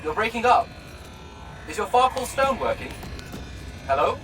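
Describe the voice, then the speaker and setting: Excitable sidekick: highish swooping voice, florid mock-heroic teasing, slightly distant, music behind. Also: male voice, measured pace. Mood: chatty, teasing. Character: excitable, theatrical friend of the hero, playfully grandiose. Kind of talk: video game cutscene acting.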